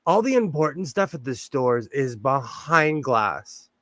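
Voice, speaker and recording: A man's voice: medium pitch, big pitch swings, a little slow, fairly bright tone, dry recording. A middle-aged man with a Southern Californian accent, hosting a casual livestream and rambling between chuckles while he greets viewers. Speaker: livestream host. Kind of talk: casual chat while sewing, reading chat.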